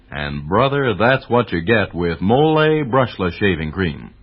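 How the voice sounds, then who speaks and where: Animated, husky vintage radio pitchman. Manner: punchy, emphatic salesman delivery. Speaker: commercial announcer. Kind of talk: 1940s radio sponsor pitch. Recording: dull old radio recording.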